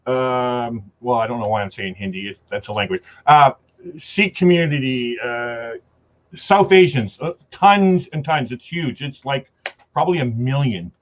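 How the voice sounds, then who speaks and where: A man talking earnestly in a casual online chat, sharing his views with halting restarts. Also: mid-range voice, muffled recording.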